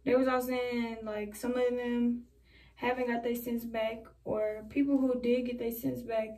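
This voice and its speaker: Young adult woman vlogger, rambling casual chat, slightly high voice, picked up from a few feet away by the camera. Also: level tone, a little slow, warm tone. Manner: rambling, chatty, talks while styling her hair. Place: small bedroom indoors. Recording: voice a bit far from the mic.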